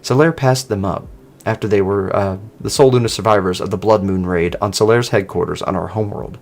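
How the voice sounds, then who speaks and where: A man voicing an earnest informant in online horror fiction, recounting old rumors in a measured way. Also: lowish voice, vocal fry.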